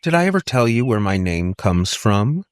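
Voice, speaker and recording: A man's voice: lowish voice, sing-song, moderate pace, clear, resonant tone, no echo, studio quality. A man voicing a conciliatory aunt in an audiobook, tentative and anxious to connect. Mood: trying casual. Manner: tentative, placating. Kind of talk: audiobook character dialogue.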